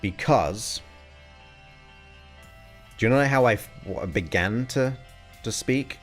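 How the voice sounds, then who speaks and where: Low, unhurried male voice in his forties, chatting casually through a live question-and-answer stream. He muses aloud and reads viewer questions as he goes. Soft music plays faintly behind him. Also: animated.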